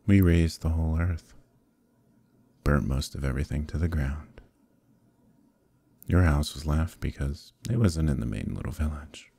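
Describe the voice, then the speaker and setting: A man playing an evil conquering knight in an ASMR roleplay, speaking in a gravelly, husky, measured way, calm and menacing yet quietly coaxing. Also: very deep voice, no echo, heavily acted. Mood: cold, menacing. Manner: measured, deliberate, quietly persuasive, pausing often. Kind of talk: ASMR roleplay, slow intimate dramatic monologue.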